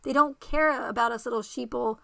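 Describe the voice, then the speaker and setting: Lady streamer gone a bit raspy, gravelly and bright, higher voice, chatting to the chat and repeating herself. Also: fairly quick, no echo. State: voice going raspy. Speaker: livestream host. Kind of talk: casual livestream chat with viewers.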